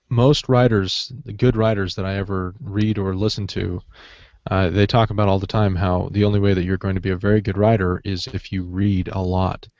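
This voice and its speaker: A man's voice: sits low, darkish tone, no room sound. A man hosting a podcast in casual, informal chat, recorded on slightly dull audio. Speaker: podcast host.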